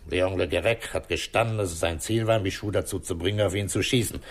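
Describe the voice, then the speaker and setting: Low-voiced, commanding police commissioner. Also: male voice. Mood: stern, official. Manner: deliberate, authoritative, explanatory. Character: methodical male police commissioner, calm and commanding. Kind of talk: 1960s German radio crime drama acting.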